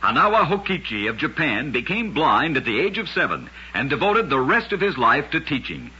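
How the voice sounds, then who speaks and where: A man with a raspy voice narrating scripted oddities for an old-time radio show in a dramatic, emphatic storyteller's delivery. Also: on the high side, big pitch swings, moderate pace, sharp tone. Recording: dull, lo-fi vintage radio recording.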